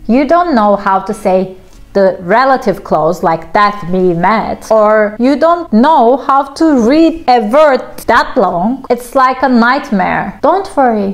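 A woman in her thirties teaching a casual video lesson at home, animated and playful with lots of gestures. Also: a little high. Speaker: language teacher. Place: home room with plants.

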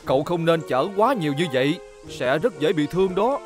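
A man voices an eager, friendly construction vehicle in a kids' cartoon. The voice sits fairly high, with clear music underneath. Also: expressive, brisk, lightly acted. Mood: concerned. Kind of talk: dubbed children's cartoon acting.

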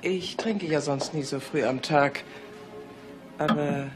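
An actress in a radio drama playing a sensible, dry-witted woman, polite but quick to rebuff. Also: sits low. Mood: polite, hesitant. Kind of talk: German radio drama acting. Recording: music behind the voice.

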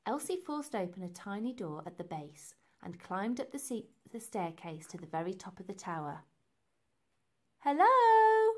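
A woman reading a children's story aloud in an expressive, deliberate and emphatic way. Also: fairly low pitch. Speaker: storybook reader.

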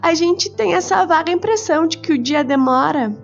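A woman voicing a patient, wistful mother who speaks softly and poetically. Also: very high-pitched, expressive, normal speed, vocal fry, pitched above the performer's own voice, heavily acted. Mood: soothing. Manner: soft, soothing, poetic. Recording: slightly muffled with soft music.

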